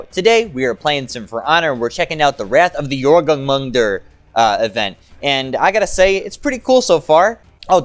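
A man hosting a gaming video, giving enthusiastic, informal and hyped commentary as he goes through a game overview. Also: mid-range voice.

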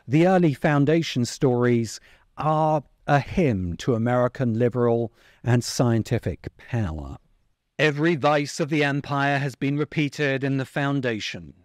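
A middle-aged man narrating a scripted video essay in a deliberate, emphatic way, close to the microphone. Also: mid-range voice, darkish tone, no room sound. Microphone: close desk microphone.

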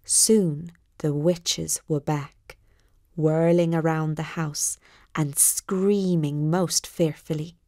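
A woman narrating a scripted bedtime story with measured, deliberate, dramatic phrasing. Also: low voice, steady tone, warm tone, no room sound, clean studio sound.

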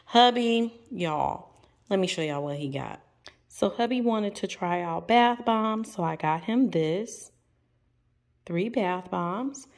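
A middle-aged woman, chatting casually to viewers in a folksy, playful, expressive way. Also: mid-range voice, slow pace, no room sound. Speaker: lifestyle vlogger.

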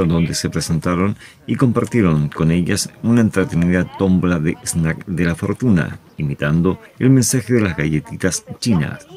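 Deep-voiced Chilean man narrating news. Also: darkish tone. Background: background music.

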